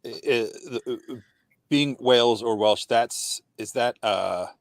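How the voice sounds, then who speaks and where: A man hosting a podcast who asks questions in a halting, filler-heavy way. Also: medium pitch, no room sound. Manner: hesitant, many fillers and restarts, asks questions. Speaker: podcast host. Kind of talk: casual podcast conversation.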